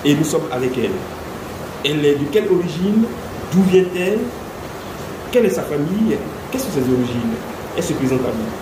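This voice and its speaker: A man presenting and interviewing, introducing his guest with a string of rhetorical questions and reacting in an animated way. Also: fairly high pitch, brisk, long pauses, background noise. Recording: echoey room, heavy rain behind.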